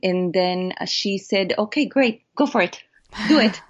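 A woman with an Israeli accent, a documentary filmmaker answering interview questions in earnest, animated storytelling. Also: medium pitch, measured pace, highs cut off.

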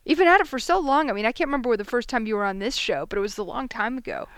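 A woman's voice: on the high side, animated, rapid-fire, clear, resonant tone, no room sound, clean studio sound. A woman hosting a radio program, asking her guests curious questions and reading announcements. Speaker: radio host.

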